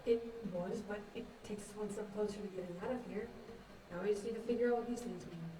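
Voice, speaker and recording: A woman's voice: mid-range voice, fast talker, dark tone, lightly acted. A young woman acting a blunt, unflappable, street-smart thief in a stage play, dry and matter-of-fact. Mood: determined. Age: young adult. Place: indoor stage, recorded from afar. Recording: distant echoey stage recording, faint noise.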